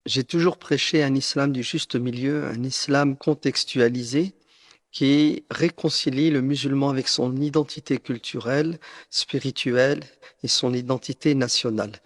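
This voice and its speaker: This is a man. His voice sits at a middle pitch. He delivers a prepared statement formally and deliberately, with a solemn bearing throughout. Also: steady tone. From northern France. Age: late 50s. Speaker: preacher making a personal statement.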